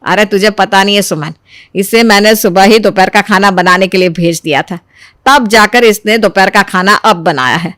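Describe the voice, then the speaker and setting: A woman voicing a domineering middle-aged mother-in-law who scolds and complains, in an animated family moral story. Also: medium pitch, moderate pace, dry recording, clean studio sound, natural voice. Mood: complaining.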